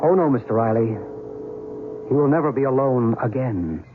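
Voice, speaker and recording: An actor playing a calm, enigmatic witness in an old time radio drama, measured and assured. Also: male voice, medium pitch, background noise, natural voice. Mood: knowing, gentle. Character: calm, enigmatic believing man, narrating witness. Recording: tinny old radio recording, music behind.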